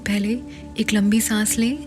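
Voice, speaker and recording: A woman with an Indian accent leads a guided meditation in a soft, soothing, instructional way, often slipping toward a breathy whisper. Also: mid-range voice, little pitch movement, measured pace. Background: background music.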